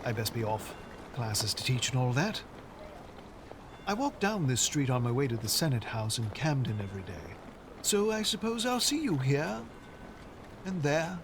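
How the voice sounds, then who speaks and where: An actor playing a genial, courteous schoolteacher in an audio drama, polite and wordy. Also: male voice, medium pitch, animated, normal speed, long pauses, close to an everyday voice. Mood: friendly. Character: genial, courteous male schoolteacher, no longer young. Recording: noticeable street ambience noise.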